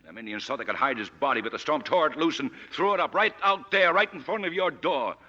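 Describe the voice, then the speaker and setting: Blunt, suspicious sheriff, animated pitch. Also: male voice, fast talker, faint music behind, full character voice. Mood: accusing, angry. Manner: blunt, clipped questioning. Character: gruff, suspicious, hot-tempered male small-town sheriff. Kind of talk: 1940s radio acting. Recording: noisy, dull vintage radio recording.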